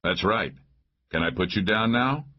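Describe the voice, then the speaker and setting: A man voicing a calm, commanding male special agent with a deep delivery in an audiobook. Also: a little slow, muffled recording. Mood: calm, firm. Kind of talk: audiobook character voice.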